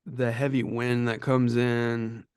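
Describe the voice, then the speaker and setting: Slow-talking man with a smooth, clear voice. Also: medium pitch, flat delivery, darkish tone, no echo, studio quality. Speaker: film podcast co-host. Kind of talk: casual film discussion.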